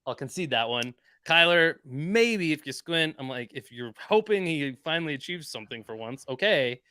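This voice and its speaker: A male co-host with a bright, forceful tone, pushing his opinions hard in casual sports talk. His pitch jumps when he gets worked up, and the recording is close and dry. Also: lively intonation, moderate pace, clear, resonant tone, no room sound. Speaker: podcast co-host. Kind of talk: casual sports podcast chat.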